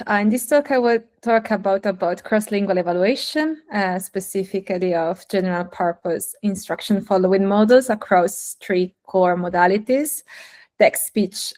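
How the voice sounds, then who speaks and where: A woman's voice: mid-range voice, a little mellow. A young woman, a native Italian speaker, giving a technical talk over a video call, hesitant with many fillers and restarts. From Italy. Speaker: guest speaker.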